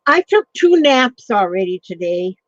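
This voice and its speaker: A woman's voice: medium pitch, big pitch swings, measured pace, no room sound. A woman hosting a casual livestream chat, rambling along with frequent ums and greeting viewers by name. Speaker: livestream host. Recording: dull lo-fi sound.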